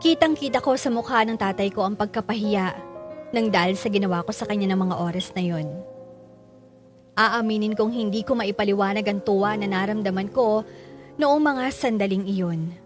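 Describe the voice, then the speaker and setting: A woman's voice: mid-range voice, normal speed, music in the background. A woman with a Filipino accent giving dramatic first-person narration for a radio drama.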